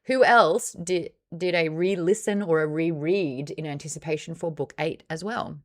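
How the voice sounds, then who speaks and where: A woman's voice: low voice, moderate pace. A woman with an Australian accent hosting a casual book club livestream, teasing and sarcastic as she chats with viewers.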